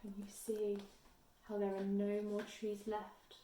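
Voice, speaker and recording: A woman reading a children's storybook aloud expressively, in a measured teacher's manner. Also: medium pitch, monotone, mellow, slight background noise. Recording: echoey room, far from the mic.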